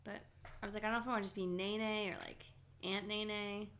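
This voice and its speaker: A woman's voice: medium pitch, little pitch movement, fairly quick, a bit bright, slight background noise. A young woman co-hosting a casual chat podcast with her sister, talking loosely and laughing often. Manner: chatty, laughs often, lots of "like". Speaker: podcast co-host.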